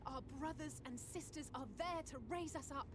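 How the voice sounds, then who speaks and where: A woman's voice: high voice, normal speed, close to an everyday voice. A woman playing a steadfast, hopeful heroine in a video game, speaking earnestly and formally. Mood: resolute. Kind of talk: dramatic video game acting. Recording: clear ambient noise behind.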